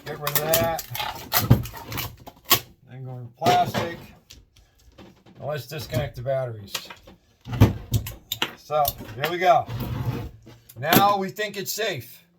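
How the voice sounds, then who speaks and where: A man's voice: a little high, slow pace, long pauses. A middle-aged man with a Massachusetts accent, chatting in a rambling, upbeat way while taking apart scrap in a shed at night. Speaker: scrapping video host. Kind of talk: casual hands-on narration. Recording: distant mic, faint noise.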